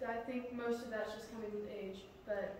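A woman's voice: mid-range voice, fairly even intonation, normal speed, darkish tone. A woman in the congregation sharing a prayer request in a chatty, offhand way, inside a large church sanctuary. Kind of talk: casual spoken prayer request. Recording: echoey sanctuary from far off, noisy.

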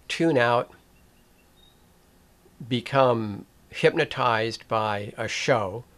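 An older man speaks at a very unhurried pace, weighing each phrase before he goes on. His pitch sits in an ordinary middle range, and he talks with pauses, restarts and dry asides. It sounds like he is at a desk in a small room, close to a webcam. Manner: deliberate, with pauses and restarts, wry. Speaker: video essay host. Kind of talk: slow reflective commentary to camera.

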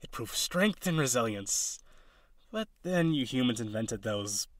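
Man playing an excitable, nervous male harpy, animated pitch. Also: measured pace, dry recording, close to an everyday voice. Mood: eager, friendly. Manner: hesitant, gentle, excitable, dramatic. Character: eager, nervous, awkward young male harpy courting a human. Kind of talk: audio roleplay addressed to the listener.

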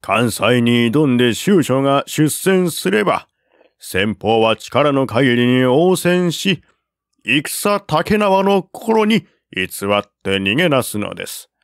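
A man's voice: mid-range voice, takes it slowly, dry recording, clean studio sound. A man voicing a bold scheming general. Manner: animated, proposing and commanding. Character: bold male military strategist laying out an ambush.

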